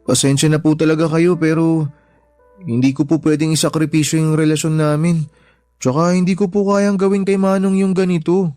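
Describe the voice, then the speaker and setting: Man acting a high-voiced, anxious young shop worker. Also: little pitch movement, normal speed, dark tone, no room sound, lightly acted. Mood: uneasy refusal. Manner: polite, distressed pleading. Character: humble young male shop worker, anxious, respectful, loyal. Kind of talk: Tagalog radio drama acting. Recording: background music under the voice.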